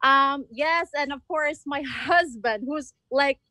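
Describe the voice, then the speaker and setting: High voiced lady guest, chatty, explains stuff casually, pretty dry sound. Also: slow, bright, forceful tone, no room sound. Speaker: guest event decorator and designer. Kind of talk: casual interview chat.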